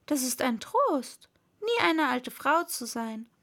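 A woman's voice: sits high, sing-song, slow pace, a bit bright, heavily acted. A woman voicing an earnest young storybook girl who muses aloud and scolds herself. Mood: wistful.

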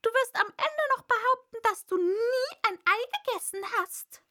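A woman's voice: extremely high pitch, expressive, moderate pace, pushed and bright, pitched above the performer's own voice, full character voice. A woman voicing an indignant, scornful mother pigeon in a storybook, screeching and aggrieved as she guards her nest. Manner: scornful, accusing, exclamatory. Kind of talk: storybook character voice.

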